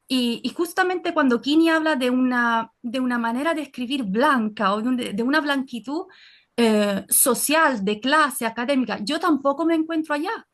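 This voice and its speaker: A woman with an Italian accent speaks Spanish in a high voice. She is earnest and pauses often over her words. She sounds like she is on a video call. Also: a bit bright. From Naples, Italy. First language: Italian and Neapolitan. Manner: earnest, frequent fillers and restarts. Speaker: audience participant. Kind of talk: reflective personal comment in an online session.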